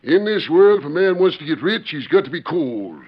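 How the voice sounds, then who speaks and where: A dull, band-limited old radio recording carries a gruff American rancher, a middle-aged father who is crafty and goading. His voice swings widely in pitch with folksy, forceful frontier phrasing, in the style of a 1940s western drama. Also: sing-song, normal speed. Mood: sly, goading.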